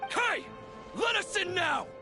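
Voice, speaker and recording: An actor playing an agitated, accusing townsman in an anime game, loud and demanding. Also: extremely high pitch, expressive, takes it slowly, over music, heavily acted. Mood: angry, alarmed. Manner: shouting, demanding. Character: agitated, accusing townsman leading an angry mob.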